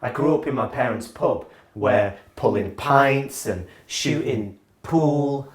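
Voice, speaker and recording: A man's voice: medium pitch, slow, reverberant. A man from England giving an earnest monologue, asking one question after another. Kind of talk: scripted reflective monologue.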